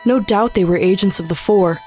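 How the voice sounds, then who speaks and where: A woman's voice: mid-range voice, brisk, darkish tone, faint music behind, close to an everyday voice. A woman acting the part of a cold, hateful demoness in an audio drama, with formal, solemn delivery. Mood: cold, brooding. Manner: formal, incantatory, solemn phrasing.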